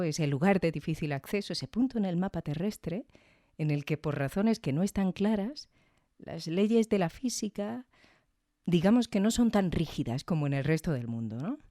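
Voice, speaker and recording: A woman's voice: low-pitched, warm tone, no echo, studio quality. A woman hosting a podcast, giving reflective, conversational scripted commentary with tag questions. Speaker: podcast host.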